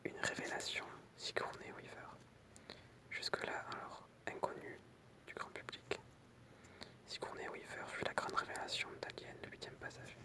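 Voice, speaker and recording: A person whispering in an ASMR style, reading out short pieces in a soft, explanatory way with casual asides. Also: moderate pace. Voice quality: unvoiced, breathy whisper. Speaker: ASMR creator reading film trivia with commentary.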